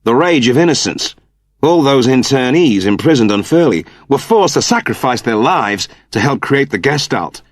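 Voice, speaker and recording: A man's voice: medium pitch, very animated, moderate pace, no room sound, studio quality, pitched above the performer's own voice. Man playing a grave, commanding rebel leader. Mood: grave, indignant. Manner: solemn, formal, declamatory.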